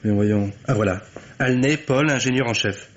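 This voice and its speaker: An actor playing an eager, deferential police assistant in a radio mystery, talking in a chatty, informal way. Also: male voice, medium pitch, moderate pace, close to an everyday voice. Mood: obliging. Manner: informal, obliging, colloquial. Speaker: actor in a radio crime drama. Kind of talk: French radio mystery acting. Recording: muffled old radio recording.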